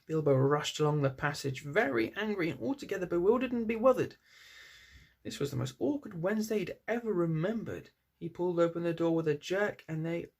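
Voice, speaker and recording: A man narrates a story aloud in a slightly high voice. There is a noticeable creak in it as he reads as the storyteller.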